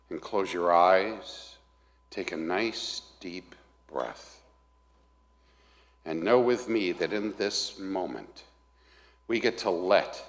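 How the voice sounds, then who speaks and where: A man with a big, very deep voice leads a prayer at an unhurried pace. His words carry through a large hall with a long, echoing reverb. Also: a bit bright. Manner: says he has a big voice. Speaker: leading an opening prayer. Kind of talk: slow guided prayer. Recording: echoey hall.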